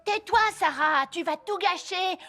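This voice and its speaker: Very high, brash cartoon little boy. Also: unhurried, a bit bright, soft background music. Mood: showy, bossy. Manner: brash, melodramatic. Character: bossy, mischievous little boy, theatrical show-off. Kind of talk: French-dubbed children's cartoon acting.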